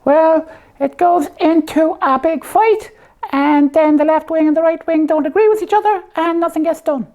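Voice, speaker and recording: A tiny, very high puppet voice, belonging to a slipper who hosts a comedy show. It explains things in simple, halting, matter-of-fact phrases and is easily exasperated. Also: female voice, darkish tone. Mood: explaining. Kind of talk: comic puppet dialogue explaining a topic simply.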